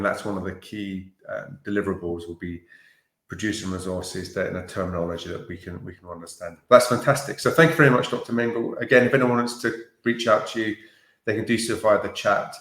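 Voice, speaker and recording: A man's voice: low-pitched, steady tone, a little fast, fairly bright tone, reverberant. A man moderating an online conference, politely introducing speakers in an upbeat way with some fillers. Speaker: conference host.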